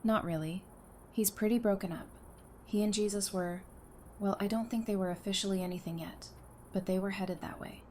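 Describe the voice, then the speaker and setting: A woman's voice: mid-range voice, steady tone, normal speed, a little mellow, natural voice. Woman acting a caring, wry young mother, noisy recording. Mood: sympathetic. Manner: casual, wry, profane banter. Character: Talisa, warm, blunt, caring wife and young mother. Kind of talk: fan audio drama acting. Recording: noticeable background noise.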